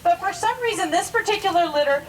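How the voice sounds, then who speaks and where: High-voiced woman presenting at a zoo. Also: animated, fast, recorded from a distance, a little hiss or hum. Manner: explanatory, informal, addresses onlookers directly. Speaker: zoo animal show presenter. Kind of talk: live educational presentation to an audience.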